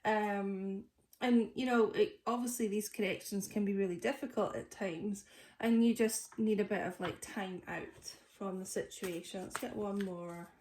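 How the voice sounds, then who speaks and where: A woman's voice: mid-range voice, steady tone, measured pace, noisy background. A woman with a stuffy nose from a cold, chatting casually through a tarot reading with lots of fillers. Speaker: tarot reader. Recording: echoey room.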